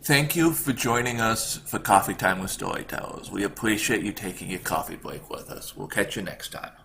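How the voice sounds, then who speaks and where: Low-voiced man, slightly noisy recording. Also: moderate pace, creaky, pushed and bright. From Canada. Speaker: podcast host. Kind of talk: casual chat. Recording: slight background noise.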